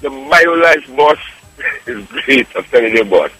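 A man's voice: mid-range voice, expressive, unhurried, bright, forceful tone, no room sound, faint music behind, poor audio. A Trinidadian man in his fifties calling in to a radio show, talking with eager enthusiasm. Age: 50s. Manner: enthusiastic, keeps calling the host "boss". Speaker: caller. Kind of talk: phone-in radio testimonial.

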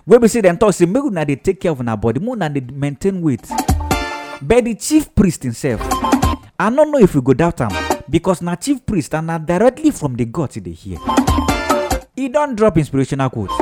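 A man with a Nigerian Pidgin accent, hosting a casual, teasing gossip show. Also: fairly high pitch. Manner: animated, chatty, teasing. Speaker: radio show host.

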